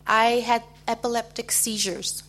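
A middle-aged woman with an American accent giving a personal testimony in short phrases, pausing for an interpreter. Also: fairly high pitch, level tone, a bit bright. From United States. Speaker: guest speaker giving testimony.

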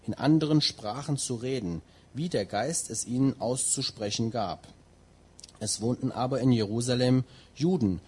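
A man's voice: medium pitch, a little mellow, husky. A man interpreting a sermon line by line, who also reads a scripture passage aloud. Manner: translates line by line, with some fillers and restarts.

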